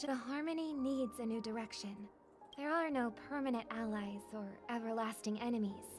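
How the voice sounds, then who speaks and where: A gentle, graceful young singer, as an actress voices her in a video game, soft-spoken and composed. Her voice sits a little high, with game music clearly beneath it. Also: normal speed, natural voice. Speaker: game character.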